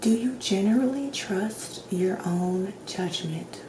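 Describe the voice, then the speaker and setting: A woman plays a doctor in soft-spoken ASMR, with slow, gentle delivery that often drops to a whisper. Her questioning is measured and clinical. The recording is roomy and echoing, with clear background noise. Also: mid-range voice. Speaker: ASMR creator playing a doctor.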